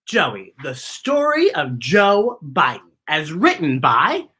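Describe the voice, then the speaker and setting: Very animated, bright-voiced woman reading to kids. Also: deep voice, slow pace. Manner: playful, theatrical, exclamatory. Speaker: children's story time host. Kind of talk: animated picture-book read-aloud with chatty asides to children.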